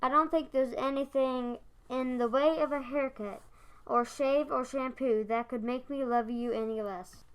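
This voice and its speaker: A man's voice: extremely high pitch, steady tone, unhurried, fairly bright tone, clear, resonant tone, exaggerated voice, rough recording. A young boy playing a mild, loving husband in a school radio play. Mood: tender. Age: child, about 9 or 10.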